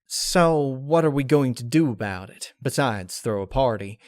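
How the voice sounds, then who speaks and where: A man's voice: mid-range voice, animated, a little slow, no room sound, close to an everyday voice. Man as a country pony mare, folksy, guarded, protective, grim edge, storybook character, close dry sound. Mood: irritated. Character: uneasy plain-spoken country mare, protective, grim underneath. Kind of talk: dramatic fan-fiction character reading.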